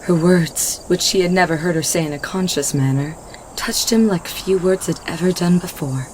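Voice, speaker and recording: A woman with a slightly low voice narrates a scripted story. It is a home recording, with noticeable noise behind her reading. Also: moderate pace, a little mellow, rough recording.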